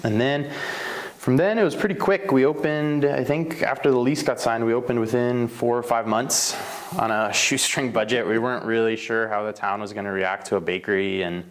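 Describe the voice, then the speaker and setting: A man's voice: medium pitch, steady tone, normal speed. A man from Slovenia who owns a bakery, telling his life story in a casual interview, rambling with fillers and self-corrections. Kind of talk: casual oral history interview.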